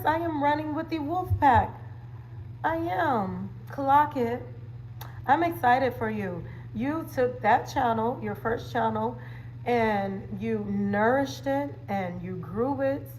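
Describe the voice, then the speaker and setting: High-voiced, unhurried woman chatting playfully. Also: a little mellow, slight background noise, rough recording. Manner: playful, chatty, with slang catchphrases and frequent laughter. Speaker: livestream host and wellness coach. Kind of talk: casual livestream chat, answering viewers.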